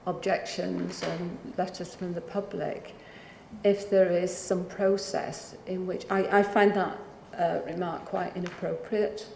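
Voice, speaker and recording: A woman's voice: fairly low pitch, gravelly, dark tone, echoey room, slight background noise, low-quality recording. A woman councillor putting a polite, somewhat hesitant question to an officer in a council meeting. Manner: polite, hesitant, many fillers.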